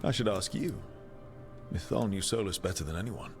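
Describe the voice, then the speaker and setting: Casting: inquisitive adventurer hero, low register, plain conversational delivery for a game, music behind. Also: male voice, normal speed. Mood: direct, inquiring. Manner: plain-spoken, conversational, questioning. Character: curious, down-to-earth male fantasy adventurer hero. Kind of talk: video game dialogue. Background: prominent music.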